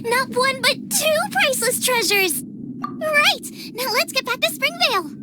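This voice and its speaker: A woman voicing an excitable, curious, childlike girl companion in a video game. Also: very high-pitched, unhurried, very noisy. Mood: bright, amazed. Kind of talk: video game character dialogue.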